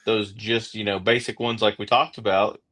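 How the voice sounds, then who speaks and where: A man's voice: lowish voice, little pitch movement, moderate pace, fairly bright tone, husky. A man with an Oklahoma accent hosting a podcast, chatting casually and rambling with many fillers and restarts. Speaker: podcast host. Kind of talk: casual interview chat.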